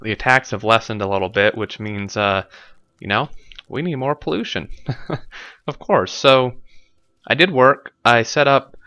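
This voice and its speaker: A man's voice: medium pitch, measured pace, pauses often, pushed and bright, dry recording, muffled recording. A man with a stuffy nose commenting casually on his gameplay, thinking aloud with lots of fillers, recorded on a desk microphone at home. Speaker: let's play host.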